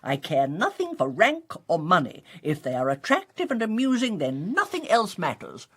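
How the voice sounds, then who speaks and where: An actress with an English accent playing a rich, imperious and mischievous older spinster aunt in a radio drama. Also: female voice, lowish voice, huge pitch swings, normal speed, a bit bright, slightly rough, exaggerated voice. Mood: grand, playful. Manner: grand, fussy, gleefully amused. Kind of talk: British radio drama acting.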